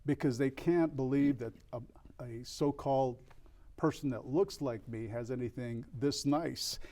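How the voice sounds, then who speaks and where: An older man from El Paso, a record store owner, speaking as an interview guest in a reflective, earnest way, with frequent restarts and pauses. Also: on the high side, darkish tone. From El Paso, Texas. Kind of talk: candid interview conversation.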